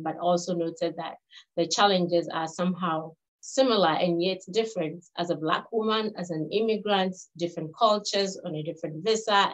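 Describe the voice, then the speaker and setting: A woman in her fifties from Ghana, English not her first language, giving a webinar talk in a measured way with pauses and restarts. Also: lowish voice. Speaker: health researcher presenting. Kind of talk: webinar presentation. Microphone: video call. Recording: dull lo-fi webinar audio.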